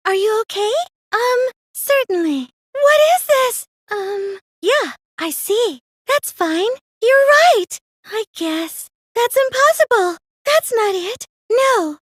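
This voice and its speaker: Very high, girlish anime cosplay fangirl. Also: animated, takes it slowly, no echo, studio quality, exaggerated voice. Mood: gentle, hesitant. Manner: hesitant, girlish, theatrically emotive. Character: soft-spoken, excitable teenage girl, self-effacing cosplay fangirl. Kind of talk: anime game voice acting.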